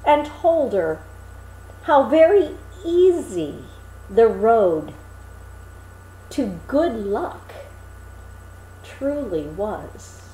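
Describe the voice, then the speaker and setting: A woman reading a fairy tale aloud in an expressive, dramatic storytelling manner. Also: a little high, reverberant, rough recording. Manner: animated, dramatic, deliberate phrasing. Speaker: storybook reader.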